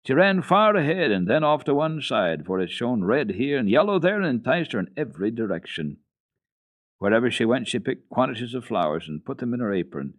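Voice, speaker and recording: A male storyteller with a fairly low voice reads classic children's fiction. His delivery is expressive and unhurried, in the manner of a dramatic radio reading. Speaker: storyteller, radio program host.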